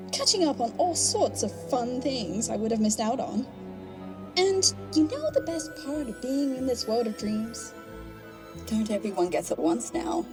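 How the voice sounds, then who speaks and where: A theatrical rabbit villainess in an audio drama, a mocking trickster who revels in her own show. The voice sits high and leaps about with hammy, gloating relish. Music plays underneath. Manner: showboating, mocking, hammy stage-host delivery.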